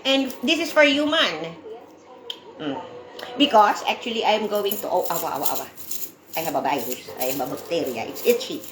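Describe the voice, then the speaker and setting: A woman's voice: lowish voice, expressive, normal speed, roomy sound, noisy background. A woman in her forties from the Philippines with a Filipino accent, chatting loosely to viewers on her livestream, laughing often. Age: 40s. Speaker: livestream host. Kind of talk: casual livestream chat with viewers.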